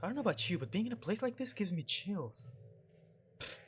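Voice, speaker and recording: A man plays through a game out loud with lively, swinging intonation. He is casual and sarcastic, dropping joking asides. The mic is a little dull and music plays underneath. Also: sits high, a little fast. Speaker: video game streamer. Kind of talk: casual let's-play commentary mixed with reading dialogue aloud.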